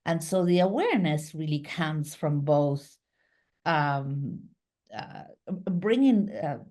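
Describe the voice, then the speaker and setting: A middle-aged woman from Argentina speaks as a guest over a webcam call from a small home office. Her voice sits low, and she talks in an animated way, gesturing as she goes. She often restarts her sentences and fills pauses with "um" and "uh.". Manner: lively, gestures with her hands, many fillers and restarts. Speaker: guest, business founder. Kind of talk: casual interview on a video call. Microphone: video call webcam.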